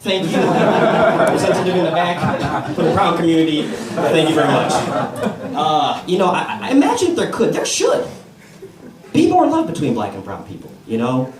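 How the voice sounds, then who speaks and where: American guy doing stand-up, lively up-and-down voice, echoey club heard from a ways off, crowd laughing. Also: fairly high pitch, normal speed. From United States. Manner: laid-back storytelling, playing to the crowd. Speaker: stand-up comedian. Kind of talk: stand-up set at an open mic. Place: comedy club stage with a live audience. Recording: roomy club sound from a distance, crowd laughter. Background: audience laughing.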